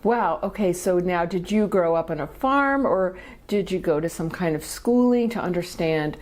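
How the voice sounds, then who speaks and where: A woman's voice: on the low side, expressive, normal speed, a little mellow. A woman hosting an interview, asking questions in an enthusiastic, encouraging way. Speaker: host, interviewer. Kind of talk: friendly interview.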